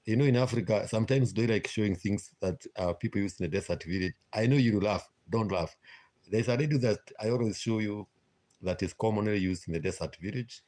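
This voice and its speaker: Man, Kenyan accent, low-pitched, halting casual chat, lots of fillers, phone stream. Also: a little mellow. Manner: hesitant, frequent "uh" fillers, repeats himself. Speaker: live streamer.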